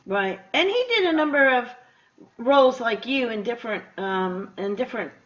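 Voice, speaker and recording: A woman with a fairly high voice works as a radio interviewer. She talks in a chatty, casual way, as in an easy conversation. The audio is somewhat dull and lo-fi. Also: lively intonation, moderate pace, fairly bright tone. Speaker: radio show host, interviewer.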